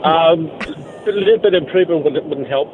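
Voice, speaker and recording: A man phoning a radio show to give casual advice. His voice is on the high side, and the call sounds muffled with clear vehicle noise. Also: a little fast. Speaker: radio phone-in caller. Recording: dull, noisy phone call, vehicle sounds.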